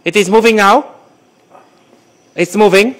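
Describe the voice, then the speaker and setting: A man playing a confused foreign caller who speaks in halting, broken English and keeps repeating himself on a prank phone call. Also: high-pitched. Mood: excited.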